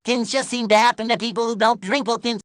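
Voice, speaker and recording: An actor voicing a cheerful, pushy puppet coffee pitchman in a comedic ad sketch, chipper and deadpan about mayhem. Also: quick pace, no room sound, studio quality, close to an everyday voice. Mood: chipper menace.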